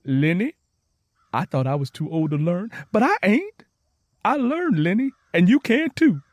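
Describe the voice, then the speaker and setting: A man voicing an eager, excitable young woman, earnest and folksy, in a narrated story. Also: high voice, measured pace, no room sound, voice pushed up. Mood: excited, warm.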